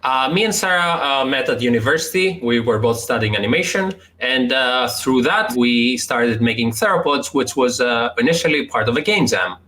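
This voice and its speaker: A young man from Greece, a game developer, presenting his indie game in a hesitant way, often pausing with fillers. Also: medium pitch, lively intonation, sharp tone.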